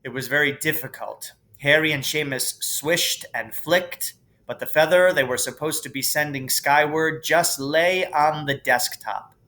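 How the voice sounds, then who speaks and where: A man reading a storybook aloud as a teacher would, in expressive narration. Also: fairly high pitch, unhurried.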